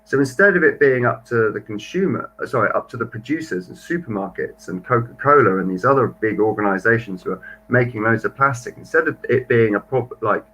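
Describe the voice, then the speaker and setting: A man's voice: medium pitch, lively intonation, brisk, few pauses, bright, forceful tone, slight background noise, rough recording. A young man co-hosting a marine biology livestream in casual, chatty talk. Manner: chatty, reads viewer comments aloud.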